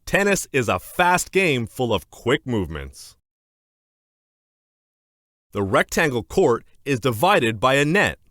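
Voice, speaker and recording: A man narrating a children's educational book aloud in a scripted, clear and deliberate instructional manner. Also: mid-range voice, big pitch swings, a bit bright, no echo, clean studio sound.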